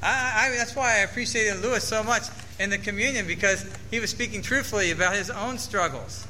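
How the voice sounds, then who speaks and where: Male pastor, sermon, earnest scripture reading, high-pitched, very bright tone. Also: fairly quick, a little hiss or hum, poor audio. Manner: earnest, reads scripture aloud and explains it.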